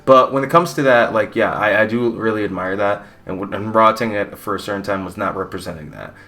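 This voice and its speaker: A man's voice: fairly low pitch, brisk, pushed and bright. A young man from Mexico giving a blunt, rambling, opinionated fight recap with casual swearing. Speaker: combat sports YouTuber.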